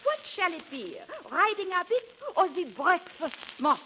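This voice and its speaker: A woman's voice: very high voice, very animated, a little slow, full character voice. A woman playing a prim, fussy young French maid with a put-on French accent in a 1940s radio comedy. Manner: polite, fussy, formal. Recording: dull old radio recording, faint hiss.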